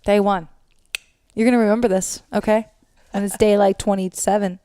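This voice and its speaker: A young American woman with a loud voice, telling stories as a co-host in casual, animated podcast chat and swearing freely. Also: mid-range voice, moderate pace, smooth.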